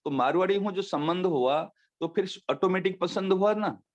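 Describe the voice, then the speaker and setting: Man giving a slow devotional discourse. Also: high voice. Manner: explains slowly with everyday examples, repeats key phrases. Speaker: spiritual teacher. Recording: dull lo-fi recording.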